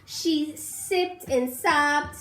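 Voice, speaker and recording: A woman teacher reading a rhyming storybook aloud to young children, pausing to ask the class questions. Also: high voice, sharp tone, roomy sound.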